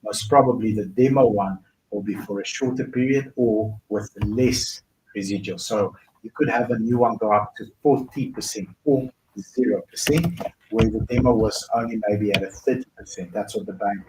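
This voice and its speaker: A man's voice: lowish voice, moderate pace, background noise. A man, originally from Bulgaria, hosting a car dealer's viewer Q&A and explaining answers step by step in casual advice talk. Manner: conversational, explains step by step with fillers. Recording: roomy echo with faint ambience.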